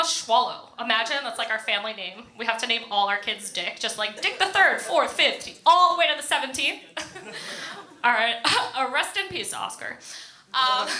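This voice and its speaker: Bright, giggly American woman doing stand-up. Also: fairly high pitch. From Florida, United States. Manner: giggles often through own delivery; self-deprecating, casual storytelling. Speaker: stand-up comedian. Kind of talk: stand-up comedy set. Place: indoor comedy club stage. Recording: echoey room, live comedy club.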